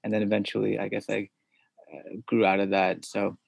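A man from suburban New York, a podcast guest who answers thoughtfully with hesitant pauses. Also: fairly low pitch, monotone, vocal fry, slightly rough, no room sound. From suburbs of New York City. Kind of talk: interview conversation.

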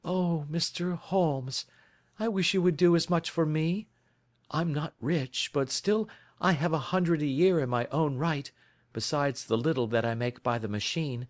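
Eager, talkative young woman client, voice pitched up high, read by a man. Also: a little slow, close to an everyday voice. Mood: earnest.

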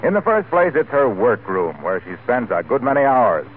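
Lively American radio announcer, tinny old broadcast. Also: male voice, mid-range voice, moderate pace, a little hiss or hum. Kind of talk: 1940s radio announcing, scripted advertising narration.

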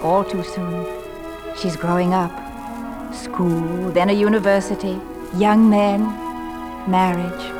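A woman playing a wistful, loving middle-aged mother with a refined, gentle voice in 1940s radio drama style. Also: fairly low pitch, level tone, takes it slowly. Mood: wistful, dreamy. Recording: vintage 1940s radio broadcast, music behind.

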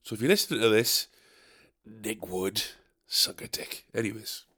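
A man with a deep, nasal, gravelly voice, stuffed up from a cold. He rambles through a solo podcast, swearing freely and laughing at his own mistakes. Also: moderate pace, sharp tone. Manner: sweary, rambling, self-mocking. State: recovering from a cold. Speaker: podcast host. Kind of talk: casual solo podcast chat. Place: dark bedroom at a desk. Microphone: desk microphone, badly set up.

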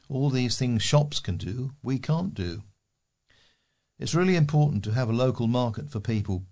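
A man's voice: mid-range voice, darkish tone. A man with a British accent reading local newspaper articles aloud as a volunteer newsreader.

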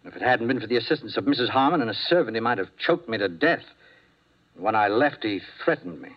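A man's voice: mid-range voice, animated, quick pace, close to an everyday voice. A man playing an anxious, earnest doctor in a 1950s radio drama, hesitant, pleading and insistent. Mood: shaken, uneasy. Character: anxious, earnest male doctor urging caution. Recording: muffled old 1950s radio recording.

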